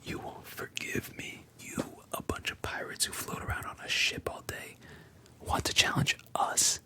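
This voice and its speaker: A storyteller voicing a cruel, mocking adult male bandit leader in a soft whisper. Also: a little slow, close to an everyday voice. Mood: sneering.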